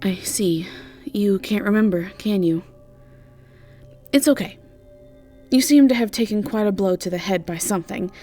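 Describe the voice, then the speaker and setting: A woman voicing a brisk, chatty, easily irritated witch innkeeper in an audio drama, speaking casually with hesitations and swearing. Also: mid-range voice, dark tone, soft background music, lightly acted. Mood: reassuring. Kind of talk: audio drama character acting.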